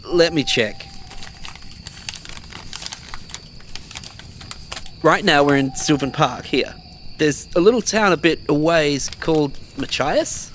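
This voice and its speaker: A man's voice: fairly high pitch, measured pace, fairly bright tone, slight background noise. A man acting as a pragmatic, exasperated survivor in an audio drama, talking in a blunt, argumentative way. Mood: easing off. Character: Marcus, blunt pragmatic male survivor, exasperated but caring. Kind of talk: naturalistic audio drama dialogue.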